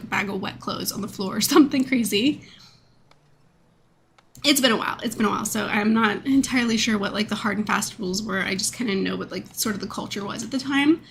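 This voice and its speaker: A woman in her thirties streaming a game, talking casually with a stuffed-up nasal voice and a slight croak, rambling with fillers and laughs. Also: a little high, a bit bright, slight background noise. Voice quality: a bit croaky. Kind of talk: casual chat with viewers.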